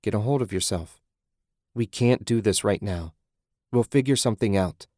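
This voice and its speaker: A man playing an anxious husband in an audiobook, speaking in a placating, calming way. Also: fairly low pitch, normal speed, no room sound, studio quality, close to an everyday voice. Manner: gentle, calming. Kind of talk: audiobook character acting.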